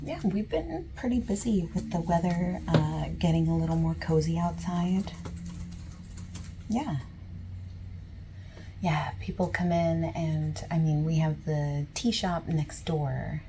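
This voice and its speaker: Slow, soft-spoken woman in gentle ASMR roleplay. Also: low-pitched, background noise. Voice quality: soft, often dropping into whispery breathiness. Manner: gentle, unhurried, reassuring customer-service talk. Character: friendly, patient used bookstore clerk. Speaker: ASMR roleplayer as used bookstore clerk.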